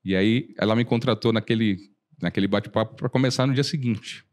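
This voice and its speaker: A Brazilian man in his forties, a lawyer guest on a podcast, telling stories in a lively way and acting out old conversations. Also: on the low side, a little fast. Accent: Brazilian Portuguese. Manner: animated storyteller, acts out dialogue, frequent restarts. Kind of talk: casual storytelling interview.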